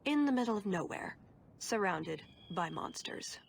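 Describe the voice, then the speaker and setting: A woman's voice: medium pitch, expressive, unhurried, gravelly, slight background noise. A woman playing a confident, wry elven assassin-thief in a video game cutscene, teasing and coolly self-assured. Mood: composed, persuasive.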